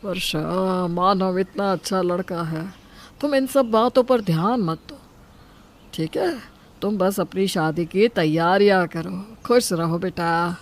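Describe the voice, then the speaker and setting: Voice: mid-range voice, takes it slowly, lightly acted. An actor playing a reassuring, indulgent middle-aged father in an audio story, placating and advising. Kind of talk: dramatised Hindi story acting.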